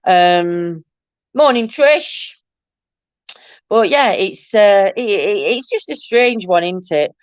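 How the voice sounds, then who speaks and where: A middle-aged woman hosts a casual livestream. Her voice is croaky and a bit nasal, and she rambles affectionately to her viewers. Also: mid-range voice, expressive, slow, no echo, dull audio. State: croaky, nasal from hay fever. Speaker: livestream host. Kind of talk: casual live-stream chat with viewers.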